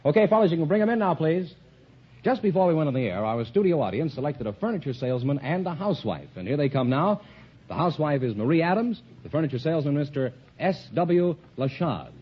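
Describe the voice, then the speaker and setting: A man's voice: a little high, very animated, quick pace, slightly rough. An American man announcing on a vintage radio quiz show in front of a studio audience. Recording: muffled old radio recording, some hiss.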